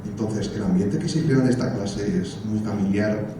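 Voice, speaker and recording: Animated man in an echoey room. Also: lowish voice, normal speed. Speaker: student. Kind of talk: testimonial interview. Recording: echoey room from far, noisy.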